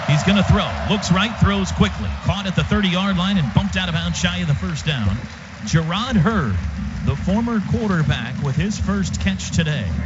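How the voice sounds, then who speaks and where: A man doing live radio play-by-play of a football game in clipped, punchy phrases. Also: fairly high pitch. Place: stadium radio booth. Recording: dull radio broadcast, loud stadium noise. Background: loud stadium ambience.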